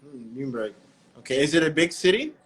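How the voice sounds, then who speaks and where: A man hosting a breathwork live stream, chatting in a relaxed, friendly way with callers and often correcting himself mid-sentence. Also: a little high, fast.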